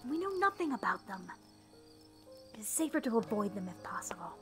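A woman playing a cautious, level-headed captain of explorers in an audio drama, speaking in a measured, commanding way. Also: medium pitch, very animated, over music, natural voice. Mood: calm, cautious.

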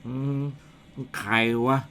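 A man's voice: drawn-out words, a bit bright, a little hiss or hum. A man playing a jumpy young village man who grumbles and stammers in a radio ghost drama. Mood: grumpy.

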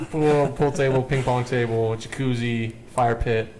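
Loud, excitable young man, voice cracking. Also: medium pitch, takes it slowly, slight background noise, low-quality recording. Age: young adult. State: voice cracking from yelling. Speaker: podcast co-host. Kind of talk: casual chat. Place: small office conference room. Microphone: headset with desk mic.

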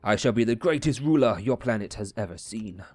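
A man's voice: on the low side, lively intonation, a little fast, darkish tone, dry recording. A man voicing a grandiose, pompous villain conqueror from a comic-book video game, in a declamatory manner. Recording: music behind the voice.

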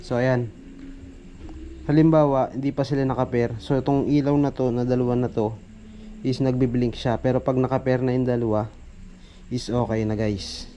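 A man's voice: medium pitch, fairly even intonation, moderate pace, long pauses, dark tone, highs cut off. A man with a Filipino accent gives a casual hands-on product demo as a reviewer, informally addressing his viewers. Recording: noisy amateur recording with background ambience.

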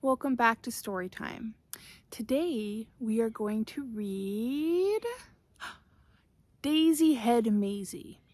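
A middle-aged woman reading a children's story aloud as host, with deliberate, dramatic emphasis. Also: on the high side, darkish tone. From Canada. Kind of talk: slow, expressive read-aloud narration for children.

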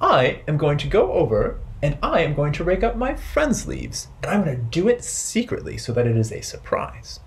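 A man's voice: a little high, wildly swooping pitch, moderate pace, few pauses. A man voicing a kindly, earnest storybook frog or toad who talks to himself as a good friend. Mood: resolved, kindly. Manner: earnest, self-addressing, storybook delivery.

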